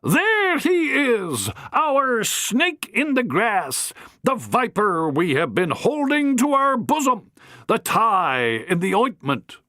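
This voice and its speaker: A reader voicing a furious, pompous older matron who declaims slowly and theatrically. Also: female voice, on the high side, big pitch swings, fairly bright tone, no room sound, studio quality, pitched above the performer's own voice, exaggerated voice. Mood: indignant, grand. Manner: haughty, theatrical, slow declamation.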